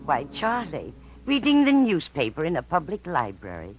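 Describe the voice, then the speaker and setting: An actress playing a prim, chatty small-town librarian in a 1950s radio drama. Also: female voice, sits low, huge pitch swings, moderate pace, lo-fi sound, loud music behind the voice. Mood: fussy.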